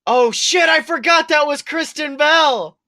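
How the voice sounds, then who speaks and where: A man talking casually as a podcast co-host, excitable and exclamatory, swearing freely as he goes. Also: extremely high pitch, a little slow, dry recording, clean studio sound. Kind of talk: casual chat about an animated film.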